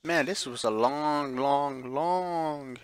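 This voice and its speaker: Guy streaming a visual novel, reading it out loud, trips on words n fixes them, dry close mic. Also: a little high, slow, clean tone, no room sound. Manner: stumbles over words and corrects himself while reading. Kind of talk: live playthrough read-aloud with offhand reactions.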